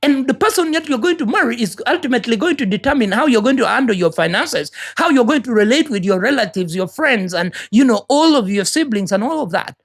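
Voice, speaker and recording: A woman's voice: fairly high pitch, expressive, quick pace, few pauses. A woman from Uganda with a Ugandan accent, giving an earnest, preachy motivational talk and often repeating words as she searches for the next one. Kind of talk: motivational monologue.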